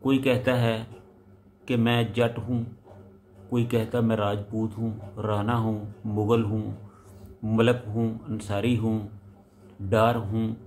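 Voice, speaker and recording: A man preaching a sermon. He recites a verse, then explains it in short, measured phrases that rise for emphasis. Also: on the low side, level tone, darkish tone, a little hiss or hum.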